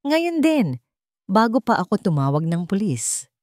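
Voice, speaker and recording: A woman's voice: mid-range voice, big pitch swings, measured pace, darkish tone, dry recording, voice pushed up. Woman narrator as stern father, cold authority, protective, audio storybook, dry sound. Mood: cold, commanding. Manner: cold, commanding. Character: stern wealthy father, protective, icy, commanding man.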